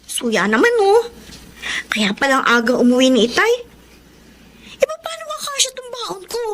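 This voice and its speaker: A high, young Filipino schoolgirl voiced by a woman in a radio drama. She is whiny, fretful and envious, with noticeable noise behind her. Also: huge pitch swings, normal speed, fairly bright tone, full character voice. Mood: complaining.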